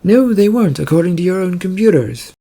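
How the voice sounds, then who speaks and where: A man's voice: sits high, expressive, fairly quick, gravelly, mellow, pitched above the performer's own voice, exaggerated voice. A man voicing a jumpy, stubborn female ship's engineer in an audio story, complaining and a little hesitant. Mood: exasperated.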